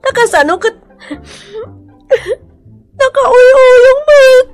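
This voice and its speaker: Shrill, very high-pitched wailing Ilocano wife. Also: female voice, very slow, soft background music, full character voice. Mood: tearful, angry. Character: aggrieved, hot-tempered Ilocano wife and mother. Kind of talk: radio drama acting.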